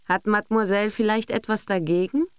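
A woman's voice: medium pitch, fairly even intonation, a little fast, clean tone, no room sound, voice pushed up, close to an everyday voice. A woman voicing a haughty, irritable bourgeois mother in an audiobook, speaking sternly and sharply with sarcasm. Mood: sharp, exasperated. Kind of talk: audiobook character acting.

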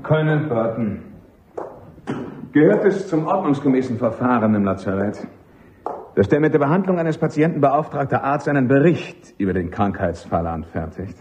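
Principled army lawyer captain guy acting, pushy but formal, very animated pitch, muffled radio drama sound. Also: normal speed, slight background noise, close to an everyday voice. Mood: insistent, probing. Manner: insistent, precise, formally respectful. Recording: dull, muffled old radio recording.

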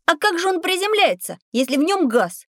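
A curious excitable kid for an educational audio play, high and really animated, dry sound. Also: female voice, a little fast, no echo, full character voice. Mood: curious, amazed. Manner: eager, inquisitive, exclamatory. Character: curious, easily amazed child on educational adventures. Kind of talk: educational audio drama acting.

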